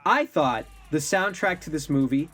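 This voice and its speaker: A man's voice: a little high, expressive, moderate pace, a bit bright. A man in his thirties co-hosting a film review, giving emphatic opinions as commentary. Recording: music bed under voice.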